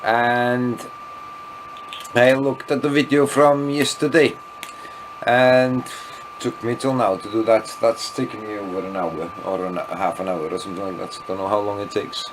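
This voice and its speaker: A man's voice: fairly low pitch, steady tone, normal speed, background noise. A man with a Welsh accent, a native Welsh speaker, rambling through an evening video diary, a little tipsy and often losing his thread. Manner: meandering, loses his thread, self-deprecating asides. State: tipsy after wine. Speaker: vlogger.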